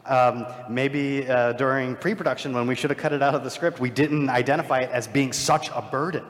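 Guy rambling on a con panel, mid pitch, uhs and ums, hall reverb. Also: steady tone, brisk. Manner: rambling, self-deprecating, lots of fillers. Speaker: panelist, filmmaker. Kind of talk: live panel discussion.

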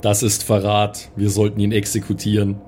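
A man voicing a stern, suspicious killer robot with a terse, formal, deadpan delivery in a tabletop audio play. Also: on the low side, normal speed, soft background music, lightly acted. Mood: cold, severe.

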